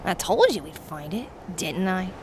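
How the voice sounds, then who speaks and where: A woman's voice: on the low side, sing-song, moderate pace. An actress playing a hard-bitten, bossy, brash female crook with slangy speech in an old-time radio drama. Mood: bossy irritated. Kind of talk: 1940s radio drama acting. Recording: clear background noise.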